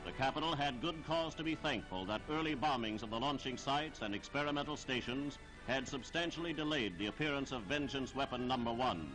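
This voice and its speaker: A man reading scripted 1940s newsreel narration in a formal, declamatory, measured delivery. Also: medium pitch, lively intonation, poor audio. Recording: 1940s archival newsreel soundtrack with music.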